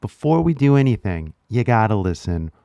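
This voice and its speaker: A young man with a relaxed Californian accent talks through a lesson on the mic, easygoing and slangy, tossing in "right?" every few lines. His voice sits a little low and comes across smooth and resonant. The sound is dry and close. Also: moderate pace, dry recording, highs cut off. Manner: laid-back, slangy, keeps asking "right?". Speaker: language teacher. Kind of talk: casual lesson to camera.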